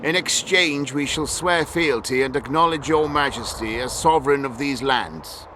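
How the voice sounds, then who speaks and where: An actor playing an obsequious, greedy middle-aged count in a video game, speaking in a formal, deferential way. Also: male voice, mid-range voice, normal speed, noisy background, lightly acted. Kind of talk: dramatic video game acting.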